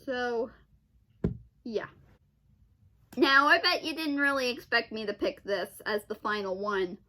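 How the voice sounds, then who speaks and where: High-pitched young woman chatting off the cuff. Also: sharp tone, a little hiss or hum. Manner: halting, repeats and rephrases. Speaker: online video host. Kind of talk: casual unscripted commentary.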